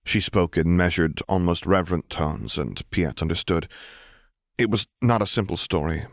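Male audiobook narrator: low register, very varied melody, expressive story delivery, dry recording. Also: normal speed, dry recording.

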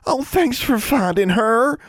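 A man's voice: a little high, expressive, normal speed, no room sound, pitched above the performer's own voice. A man voicing a dramatic, superstitious young country girl who talks in wailing, folksy, ungrammatical speech for a storybook. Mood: elated.

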